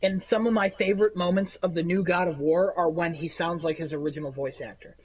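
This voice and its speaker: A man with a nasal voice, rambling through animated stories in a casual chat among friends. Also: on the high side, a little fast, husky, muffled recording. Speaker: podcast co-host.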